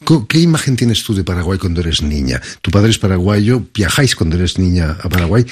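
Very low, husky man interviewing. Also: animated, a little fast. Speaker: interviewer, host. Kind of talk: conversational interview questioning.

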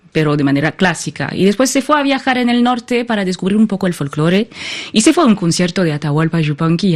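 A French woman speaking as an interview guest with a French accent, thoughtful and often searching for words. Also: on the low side. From France. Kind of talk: conversational interview.

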